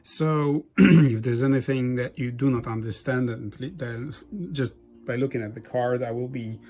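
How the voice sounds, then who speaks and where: A man whose first language is Polish, hosting a board game playthrough in casual, halting commentary. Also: medium pitch, steady tone, a little mellow. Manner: halting, frequent restarts and fillers. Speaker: board game channel host.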